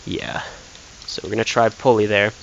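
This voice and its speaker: A man's voice: on the low side, flat delivery, moderate pace, vocal fry, fairly bright tone, highs cut off, a little hiss or hum. A young man casually commenting while playing a football game, thinking aloud with lots of fillers and restarts. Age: young adult. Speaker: gaming commentator.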